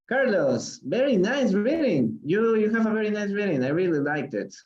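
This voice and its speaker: A man from Peru runs a live online English reading class, encouraging each student and hedging with lots of fillers. His pitch rises and falls with plenty of movement. The audio comes through dull and lo-fi, like a livestream feed. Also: sing-song, unhurried, crowd noise. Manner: encouraging, hesitant with many fillers. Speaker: English teacher. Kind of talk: live online reading lesson, switching to Spanish. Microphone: livestream call audio.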